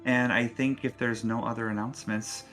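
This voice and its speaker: A man's voice: mid-range voice, little pitch movement, normal speed, fairly bright tone, rough recording. A man hosting a tabletop role-playing show as game master, speaking casually and encouragingly as he addresses players and viewers. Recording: faint music behind. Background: faint music.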